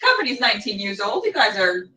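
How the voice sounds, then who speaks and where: A woman's voice: medium pitch, very animated, fast, bright, forceful tone, recorded from a distance. A woman hosting an interview, chatting informally and effusively with a joking touch. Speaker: podcast host and interviewer. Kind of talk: casual interview chat.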